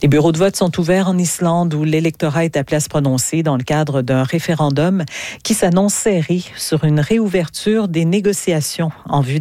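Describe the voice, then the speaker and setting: A woman's voice: low-pitched, dark tone, no echo, clean studio sound. A woman reading scripted news as a radio anchor.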